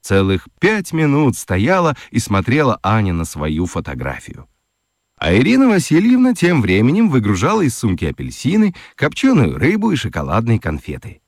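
A man narrating a children's radio play in an expressive storytelling manner. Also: fairly low pitch, dry recording, studio quality.

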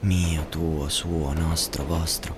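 An actor playing a grim, disillusioned student in a poetic radio monologue, with measured, incantatory phrasing, recorded over noisy ambience. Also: male voice, very deep voice, little pitch movement. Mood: grim, questioning. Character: grim, accusing young man, disillusioned student.

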